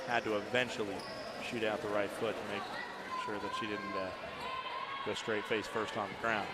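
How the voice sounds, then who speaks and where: A man's voice: on the low side, little pitch movement, moderate pace. Man calling a college basketball game. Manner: chatty, opinionated, rises to shouting at big plays. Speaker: play-by-play announcer. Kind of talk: live basketball commentary. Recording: noisy arena broadcast feed. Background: gym ambience and ball bounces.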